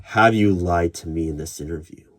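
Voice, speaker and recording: Low-voiced man asking probing interview questions. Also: moderate pace. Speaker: interviewer in an audio roleplay. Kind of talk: ASMR roleplay of a job interview. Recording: clear background noise. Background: computer keyboard typing and clicks.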